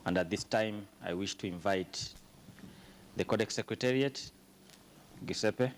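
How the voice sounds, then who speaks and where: Chairman, male, low-pitched, formal and hesitant. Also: measured pace, slight background noise. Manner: formal, with many ums and uhs. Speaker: meeting chairman.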